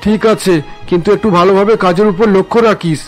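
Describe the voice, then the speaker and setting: A man acts an older family patriarch in a cartoon drama. He talks fast in a high voice, impatient and demanding, over music. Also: steady tone, lightly acted. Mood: businesslike. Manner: brisk, demanding.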